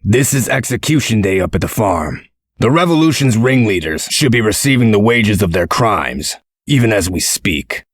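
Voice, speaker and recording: An actor playing a gruff, blunt, sardonic and protective man in a comic dub. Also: lowish voice, lively intonation, moderate pace, dry recording, studio quality. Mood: wry, steady.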